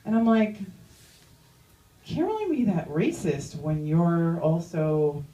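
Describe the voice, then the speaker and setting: A woman's voice: lowish voice, expressive, slow, warm tone, echoey room, far from the mic, lo-fi sound. A young woman doing a stand-up comedy set in a chatty, self-mocking way, full of "like" asides. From Iranian-American. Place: comedy club stage.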